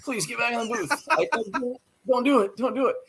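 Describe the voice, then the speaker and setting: An American man chatting casually as a podcast guest, telling anecdotes in an animated, self-deprecating way. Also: very high voice, brisk, noisy background. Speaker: interview guest, radio play-by-play announcer.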